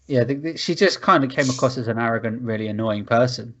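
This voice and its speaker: Man, medium pitch, casual podcast banter, blunt opinions, swearing, dull lo-fi sound. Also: quick pace, a bit bright. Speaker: podcast co-host. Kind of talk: casual group chat about films.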